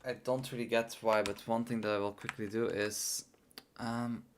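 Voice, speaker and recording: A man's voice: medium pitch, flat delivery, normal speed, a little hiss or hum. A young man with a worn, tiring voice streaming his programming, muttering to himself and trailing off between thoughts. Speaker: livestreaming programmer. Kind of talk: casual live coding stream.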